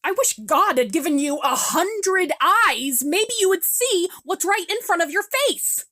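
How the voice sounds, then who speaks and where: A woman's voice: extremely high pitch, animated, a little slow, bright, forceful tone, full character voice. A woman voicing a sick, tired widowed mother who speaks in a weary, scolding tone.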